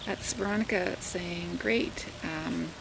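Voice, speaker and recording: A woman's voice: lowish voice, takes it slowly. An older woman from Canada with a Southern Ontario accent, chatting casually to camera as a vlogger and teacher, rambling with many fillers and asides. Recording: home recording with clear background noise.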